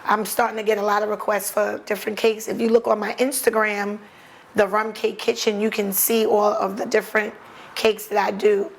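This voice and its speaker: Gravelly New York grandmother baker. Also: medium pitch, level tone, normal speed. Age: older. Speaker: guest, baker. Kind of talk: casual interview.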